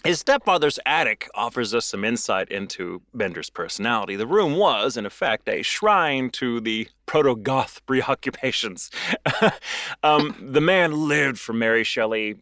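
A man's voice: medium pitch, expressive, measured pace, bright, forceful tone, no room sound, clean studio sound. A man hosting a show, telling a paranormal history in a theatrical, wordy storytelling manner with wry, casual, profane asides. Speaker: podcast host and storyteller.

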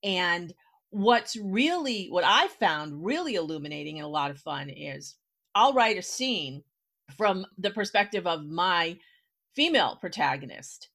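A woman's voice: lowish voice, expressive, a little slow, a bit bright. A woman hosting a casual interview, talking in a direct, explanatory way and checking in with the listener as she goes. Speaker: podcast host, writer.

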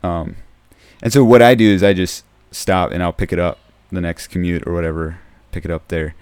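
A man hosting a podcast in a casual, soft-spoken way, with a low, dark voice that tends toward mumbling. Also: fairly even intonation, moderate pace, clear, resonant tone, no room sound. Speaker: podcast host. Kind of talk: casual solo podcast talk. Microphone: close podcast microphone.

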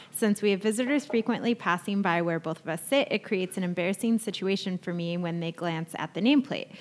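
A woman co-hosting a casual chat, reading a letter aloud and giving blunt opinions. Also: medium pitch. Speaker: podcast co-host.

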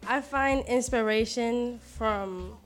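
A woman's voice: on the high side, slow pace. Teenage girl, hesitant, music behind. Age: teens. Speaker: young singer in a music group. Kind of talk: casual interview answers.

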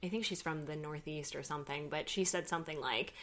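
Heller, lebhafter Podcast-Plauderton einer Frau. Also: female voice, deep voice, fairly even intonation, fast, a bit bright. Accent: Southern US (Texan). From Texas, United States. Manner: chatty, rambling, self-correcting. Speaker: podcast host. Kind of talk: casual chat.

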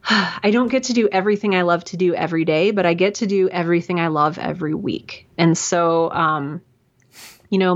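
A woman with a fairly low voice speaks as a podcast guest, thinking aloud in an informal, self-deprecating way. The recording is a little dull and lo-fi, and her delivery is that of relaxed conversational interview answers. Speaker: podcast guest, self-employed creative professional. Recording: dull, lo-fi audio.

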